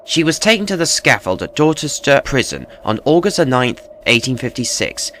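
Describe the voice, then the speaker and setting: A man narrating a true tale as scripted, dramatic storytelling in a measured, grave manner. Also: mid-range voice, sing-song, fairly bright tone, a little hiss or hum.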